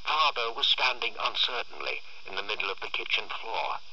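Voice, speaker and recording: A man reading a literary short story aloud in a theatrical, expressive way. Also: lowish voice, moderate pace, no echo, highs cut off. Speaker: story reader.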